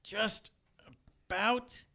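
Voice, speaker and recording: A man's voice: sits high, fairly even intonation, very slow, vocal fry, heavy background noise, exaggerated voice. A man playing a stubborn, wounded supernatural detective in an audio drama, wry and defensive in manner. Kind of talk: naturalistic audio drama acting.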